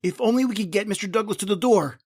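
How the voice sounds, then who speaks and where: A male actor plays an alarmed young hero in radio horror. His voice is somewhat high and urgent, as he urges others on. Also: quick pace, darkish tone, slightly rough, dry recording, studio quality. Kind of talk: old-time radio horror acting.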